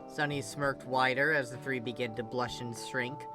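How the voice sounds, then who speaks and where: An expressive reader narrates a scripted story in a middle-pitched voice. Music plays clearly behind the words throughout. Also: moderate pace. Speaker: fan fiction reader.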